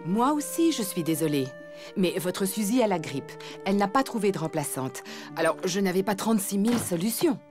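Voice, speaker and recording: A woman voicing an expressive, easily flustered elephant mother in a French cartoon. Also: medium pitch, a little fast, over music, natural voice. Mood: apologetic. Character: caring but exasperated elephant mother.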